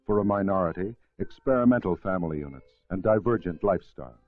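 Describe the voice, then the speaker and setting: A man reading scripted educational film narration in a formal, measured way. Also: sits low, animated. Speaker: documentary narrator. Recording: narrow-band vintage film soundtrack.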